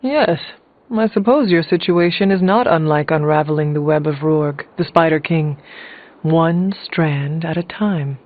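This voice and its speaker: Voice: mid-range voice, a little slow, close to an everyday voice. An actor playing a solemn centuries-old demon hunter in a video game, speaking formally and earnestly. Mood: earnest, dry. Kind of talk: video game character dialogue. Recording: muffled lo-fi game audio, music behind.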